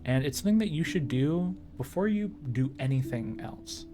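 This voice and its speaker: A man's voice: fairly high pitch, lively intonation, normal speed, over music. A man hosting a video, narrating scripted tips in a tutorial style. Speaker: video host giving tips.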